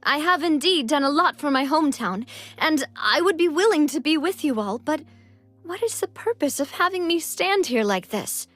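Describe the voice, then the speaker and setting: A woman's voice: high voice, expressive, moderate pace, a bit bright, faint music behind. An actress playing an earnest, polite young lady leader who is easily puzzled, in a video game. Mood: bewildered. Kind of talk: English video game voice acting.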